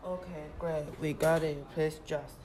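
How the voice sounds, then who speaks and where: A woman's voice: low voice, steady tone, measured pace, background noise. A woman playing an earnest, polite but impatient young rabbit police officer in an amateur radio play. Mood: impatient, exasperated.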